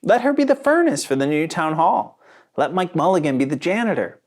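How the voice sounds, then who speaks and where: A reader voicing an eager, excitable little boy in a children's storybook. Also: mid-range voice, a little fast, pitched above the performer's own voice. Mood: thoughtful, hopeful. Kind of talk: children's storybook read-aloud.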